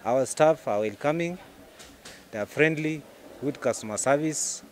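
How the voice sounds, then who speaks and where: A middle-aged man with a Kenyan accent, a store manager giving promotional interview answers in short, halting phrases into a handheld mic. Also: fairly high pitch, slight background noise. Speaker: supermarket branch manager, interviewee. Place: inside a supermarket. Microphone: handheld microphone. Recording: faint music behind the voice. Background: faint music.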